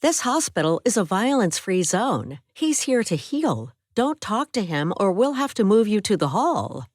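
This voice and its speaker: A woman playing a firm, brisk nurse. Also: medium pitch, very animated, darkish tone, dry recording, studio quality, pitched above the performer's own voice. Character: firm adult female nurse.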